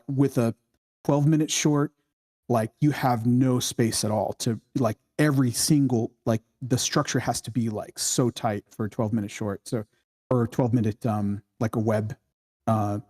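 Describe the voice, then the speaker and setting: A man with a mellow, relaxed voice and an average pitch gives informal critique in a workshop. He talks haltingly, working his thoughts out as he goes. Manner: halting, informal, thinking aloud. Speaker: script workshop participant giving feedback. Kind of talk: casual spoken critique.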